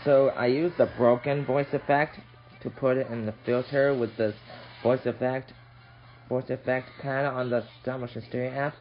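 A man hosting a casual hobby app tutorial, speaking haltingly and repeating his phrases. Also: mid-range voice, flat delivery. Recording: dull lo-fi audio with noise.

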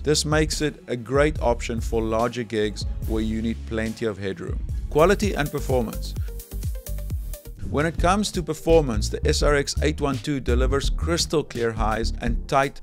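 A man in his forties narrates a scripted product review into a close microphone in a furnished room. His voice sits at an average pitch, and he explains things in an orderly, clear way. Music plays behind him. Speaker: presenter, audio technical director. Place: small furnished living room indoors. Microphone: close desk microphone. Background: background music.

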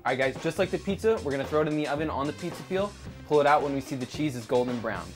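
A man's voice: a little high, expressive, racing. A young man co-hosting a casual cooking video, giving step-by-step instructions. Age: young adult. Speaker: cooking show co-host. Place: home kitchen. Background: faint instrumental music.

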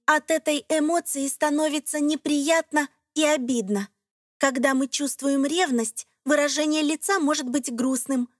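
A woman's voice: sits high, no echo, clean studio sound. A woman reading scripted narration for an educational children's cartoon, explaining things in simple phrases addressed to young listeners.

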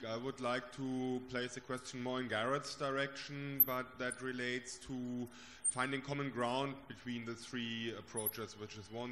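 Flat-voiced man asking from the floor. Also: medium pitch. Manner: formal, measured. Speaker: audience member from industry. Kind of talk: question from the floor. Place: large conference hall. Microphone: handheld microphone. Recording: hall reverb, faint room hum.

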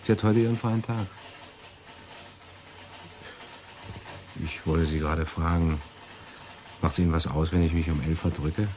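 An actor playing a flippant, lovestruck bachelor intelligence clerk in a radio play, casual and confiding. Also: male voice, very deep voice, steady tone, fairly quick, darkish tone, soft background music. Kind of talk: German radio play acting.

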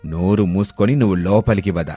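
Man voicing stern, domineering low-voiced old father. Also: brisk, warm tone, faint music behind. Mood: harsh. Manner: scolding, commanding, threatening. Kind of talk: animated moral story acting.

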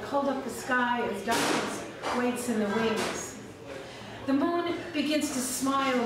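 A middle-aged American woman reading her poems slowly at an open mic, with chatty asides between them. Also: on the high side. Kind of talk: poetry reading. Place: small cafe stage at night. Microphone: stand mic, camera from across the room. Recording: echoey room, distant camera mic, crowd. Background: audience in the cafe.

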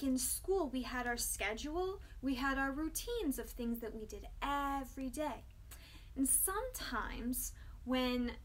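A young woman teacher talking to small children in simple words, asking questions and pausing for their replies. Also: high-pitched, a little hiss or hum. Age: young adult. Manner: simple child-directed talk with questions and pauses for response. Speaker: music teacher hosting children's storytime. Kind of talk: children's lesson, speaking to young kids.

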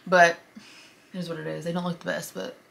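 A woman's voice: fairly low pitch, little pitch movement, a little fast, gravelly, fairly bright tone. A woman of twenty chatting to her phone camera from a parked car, gushing and casual, often saying y'all. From Michigan. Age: 20s. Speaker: vlogger.

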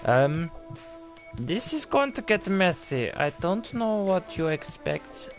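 A young man streaming a game, putting on a mock German-style accent that drifts into a made-up one, swearing and shouting in excitement. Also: high-pitched, slow, soft background music. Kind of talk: live let's-play commentary.

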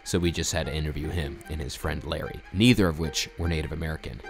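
A man narrating a documentary in a calm, measured voice-over. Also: extremely low pitch. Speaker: filmmaker narrator. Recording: music underneath.

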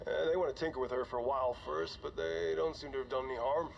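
An actor playing a weary, older starship commander, speaking in a halting, conversational way for a science fiction game audio log. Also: male voice, mid-range voice, vocal fry, lightly acted. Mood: jittery. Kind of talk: dramatic audio log acting. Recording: dull, noisy cockpit log recording.

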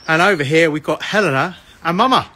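A man's voice: a little high, fairly quick, bright, forceful tone. A middle-aged man with a cold, hosting a live barbecue cook outdoors in a cold garden, chatty and jokey, filmed on a handheld phone. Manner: chatty, jokey, rambling with ums. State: sniffly with a cold, coughing. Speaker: barbecue cooking host. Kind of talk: casual live cooking stream. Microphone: phone camera held by another person. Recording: outdoor background noise. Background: outdoor ambience.

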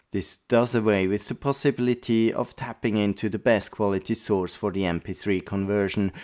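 Low-voiced man hosting a scripted retrospective. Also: a little mellow. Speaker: video host, audio play collector.

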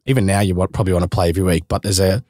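A man in his thirties with an Australian accent, hosting a casual podcast interview and chatting matily with frequent laughs, recorded on a boom mic in a small studio. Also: fairly even intonation, racing, darkish tone, no echo. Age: 30s. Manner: chatty, laughs often, matey slang. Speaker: podcast host. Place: small studio room with couch. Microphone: boom-mounted podcast mic.

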